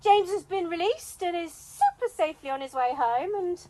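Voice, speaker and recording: A woman's voice: very high-pitched, sing-song, moderate pace, warm tone, a little hiss or hum, poor audio. A woman playing a teasing, theatrical, gossipy British tennis club member in an amateur radio comedy. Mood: chirpy, curious.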